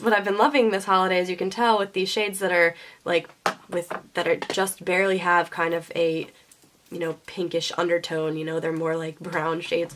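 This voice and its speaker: A woman's voice: lowish voice, a bit bright, slight background noise. A young woman vlogging her beauty favorites to her camera, chatty and full of ums, a little sniffly from a sinus infection. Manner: chatty, many ums and likes. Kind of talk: casual product favorites chat. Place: indoors, seated before a camera. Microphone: camera mic.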